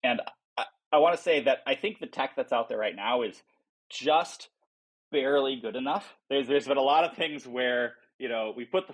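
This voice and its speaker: A man's voice: medium pitch, low-quality recording. A man speaking as an interviewed startup founder, explaining things informally and thinking aloud as he goes. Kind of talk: casual explanatory interview talk.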